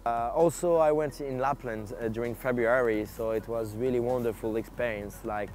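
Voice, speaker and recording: Halting young Frenchman outdoors. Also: mid-range voice, fairly even intonation, a little mellow, soft background music. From France. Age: young adult. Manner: halting, many fillers. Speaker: exchange student. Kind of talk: documentary interview. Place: outdoors, on stone steps.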